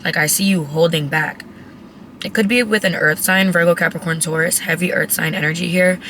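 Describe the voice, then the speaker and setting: A raspy, hoarse woman talks straight to her viewers through a tarot reading, chatty and blunt, with an easy habit of swearing. Her tone is bright and forward despite the strained voice. A faint hiss of noise sits behind her. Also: fairly low pitch, fairly even intonation, brisk. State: hoarse, voice cracking. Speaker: tarot reader. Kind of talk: casual tarot reading to camera.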